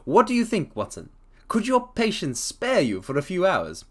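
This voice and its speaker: Brisk eccentric detective from a man reading aloud, high, crisp, theatrical, lively lilt. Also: exaggerated voice. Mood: imperious. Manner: crisp, theatrical, clipped delivery. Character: brisk, eccentric male detective, sharp and imperious.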